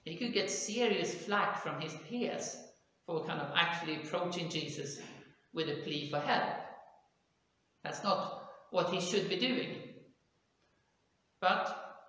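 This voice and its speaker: Bright-voiced pastor in an echoey church. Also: male voice, a little high, takes it slowly, long pauses. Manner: conversational, explaining with hedges like "kind of" and "if you like"; gestures with his arms at the pulpit. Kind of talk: sermon. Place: small church, indoors. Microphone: pulpit mic on a stand, far camera.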